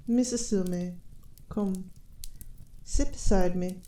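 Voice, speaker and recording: A woman playing an elf queen and mother, speaking in a formal, measured, gracious and gently teasing way in a fantasy audio roleplay. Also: medium pitch, close to an everyday voice. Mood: gracious, inquisitive. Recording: roomy, reverberant sound.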